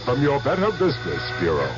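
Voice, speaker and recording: A man reading a scripted public service radio spot, deliberate and instructive. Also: mid-range voice, huge pitch swings, loud music behind the voice. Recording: dull old radio broadcast.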